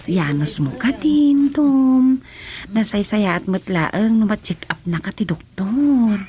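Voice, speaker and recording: An actress playing a caring, coaxing wife in an Ilocano radio drama-comedy, with an Ilocano accent. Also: female voice, mid-range voice, expressive, slow pace, dark tone. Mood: concerned, coaxing. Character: caring, coaxing wife nursing her sick husband.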